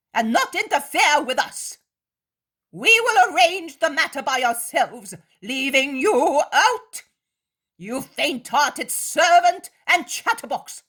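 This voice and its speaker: A wrathful high priest spits threats in a high, sharply rising voice, as a woman reads his part. Every line lands slowly and with menace. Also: exaggerated voice. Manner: menacing, shouting.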